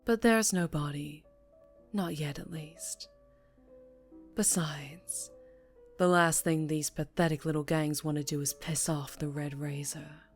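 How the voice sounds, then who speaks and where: Female mafia boss, voiced by an actress, low-pitched and breathy, teasing menace, ASMR roleplay over faint music. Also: steady tone, takes it slowly. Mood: dry, musing. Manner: teasing, taunting, softly threatening. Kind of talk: scripted ASMR roleplay monologue.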